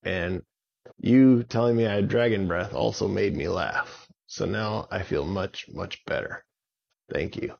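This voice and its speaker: A man's voice: low voice, measured pace, vocal fry, darkish tone, muffled recording, heavily acted. A man voicing an upset, hot-tempered magic dragon in a children's story, with emphatic, dramatic delivery. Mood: calmed, relieved. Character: angry, upset young male magic dragon.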